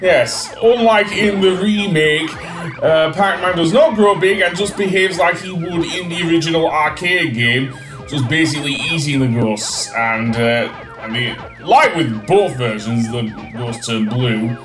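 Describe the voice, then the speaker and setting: A man with a fairly high voice gives off-the-cuff game commentary. He rambles and halts as he goes. The room is echoey, with faint music behind him. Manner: halting, rambling. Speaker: video game reviewer. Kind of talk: casual gameplay commentary.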